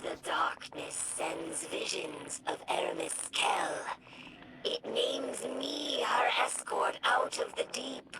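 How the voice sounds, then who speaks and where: An actress voicing a zealous, menacing alien priestess in a video game, hissing and raspy, in ominous incantations. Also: female voice, a little high, lively intonation, low-quality recording. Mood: fervent, ominous. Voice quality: breathy, raspy hissing whisper. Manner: slow, ominous, incantatory proclamations. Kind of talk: dramatic video game acting.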